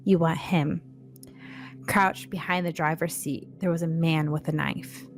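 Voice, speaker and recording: A woman's voice: sits low, steady tone, moderate pace, faint music behind. A woman co-hosting a read-along, telling a scary short story and addressing listeners informally and directly. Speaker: podcast co-host and story reader.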